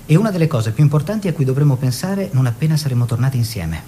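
An actor playing a devoted young painter husband, earnest and protective, in a measured theatrical delivery. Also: male voice, medium pitch, lively intonation, warm tone, slight background noise, lightly acted. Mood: earnest, instructing. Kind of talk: theatrical monologue and letter reading.

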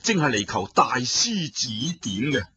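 A man's voice: medium pitch, sing-song, unhurried, bright, forceful tone, slightly rough, no room sound, full character voice. A man voicing a proud young male hero who speaks in an earnest, formal way.

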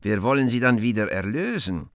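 A man's voice: mid-range voice, very animated, a little fast, dry recording, studio quality. An actor playing an old, querulous God the Father in a radio play, ponderous and ostentatious. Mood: pleading.

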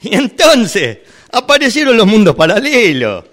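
A man's voice: high voice, huge pitch swings, a little slow, a bit bright, full character voice. A man playing a weary shopkeeper who sells worlds, speaking in an amateur radio drama rehearsal. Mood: matter-of-fact. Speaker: workshop radio drama actor.

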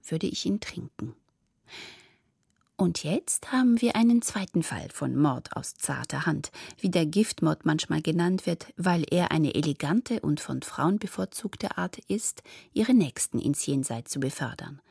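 Radio presenter, female: very deep, gravelly voice, dry wit, scripted introductions, close studio sound. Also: expressive, a little slow, dark tone, no room sound. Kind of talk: scripted narration.

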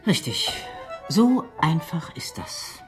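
No-nonsense foster mum, middle-aged, very lively pitch, radio play with faint music. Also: female voice, exaggerated voice. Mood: practical. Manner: brisk, matter-of-fact, admonishing. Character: brisk, practical, strict but caring English foster mother. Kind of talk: German radio drama acting.

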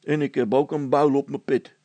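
A man's voice: mid-range voice, little pitch movement, moderate pace, warm tone, dry recording, muffled recording, pitched above the performer's own voice, close to an everyday voice. A man voicing a plaintive village policeman in a story, forever grumbling and complaining about being neglected.

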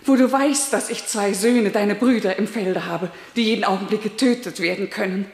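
A woman's voice: medium pitch, normal speed, close to an everyday voice. An actress playing an anguished, reproachful middle-aged mother in a German radio drama, plaintive and insistent. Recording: echoey, long reverberant room sound.

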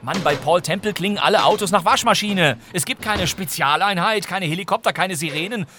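An actor playing an exasperated, pedantic radio performer who lectures emphatically in a comic radio play. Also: male voice, high voice, a little fast, bright, forceful tone, a little hiss or hum. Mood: indignant. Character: exasperated, pedantic male radio actor, nostalgic for old crime serials.

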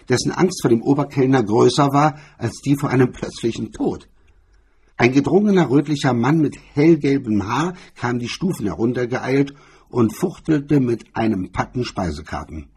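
A man with a husky, raspy voice reading a hardboiled crime story as a narrator in a measured, literary storytelling style. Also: mid-range voice, a little mellow.

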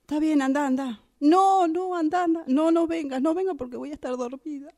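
A woman's voice: high voice, a little fast, mellow. A woman with an Argentine Rioplatense accent playing a hurt, resentful partner on the phone in a radio drama. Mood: sad, resigned.

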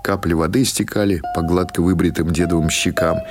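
A man reading literary prose as an audiobook narrator, in a measured, dramatic storytelling manner. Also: deep voice, fairly even intonation, loud music behind the voice.

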